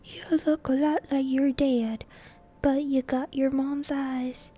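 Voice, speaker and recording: Woman putting on a loud, slow giant. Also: high-pitched, level tone, soft background music. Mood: fond. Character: huge shaggy male giant, gruff but kindly.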